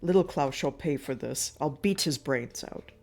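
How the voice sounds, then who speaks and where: A woman voicing a greedy, hot-tempered peasant man who shouts his wares and swears revenge. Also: deep voice, moderate pace. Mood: vengeful. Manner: loud hawking cries, angry threats.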